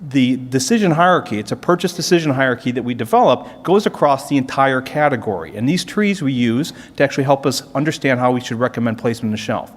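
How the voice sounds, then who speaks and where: A man's voice: medium pitch, animated, quick pace, few pauses. A man giving an explanatory business conference presentation, posing questions and then answering them as a corporate presenter. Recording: reverberant hall sound.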